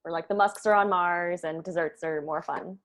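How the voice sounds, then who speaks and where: A woman's voice: lowish voice, fairly even intonation, moderate pace. A woman leading a script table read as its writer, talking casually with the group and tossing in joking asides.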